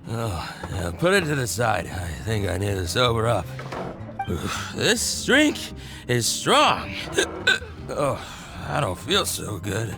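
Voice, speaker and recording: A man playing a woozy drunk tavern patron in a video game, talking in a slurred, halting, groaning drawl. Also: fairly low pitch, big pitch swings, full character voice. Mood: drunk, queasy. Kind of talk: scripted video game acting. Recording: game music behind the voice. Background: music.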